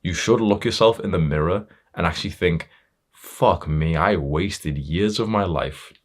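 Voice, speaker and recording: A man's voice: low voice, a little slow. A young man giving a motivational monologue to viewers as a coach, blunt and confrontational, swearing freely. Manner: blunt, sweary, confrontational tough-love delivery. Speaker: self-improvement coach.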